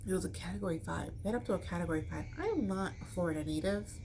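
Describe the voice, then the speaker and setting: A woman's voice: lowish voice, racing, warm tone. Casual American woman vlogging over background noise. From Chicago, United States. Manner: rambling, informal, slangy. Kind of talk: casual chat to camera.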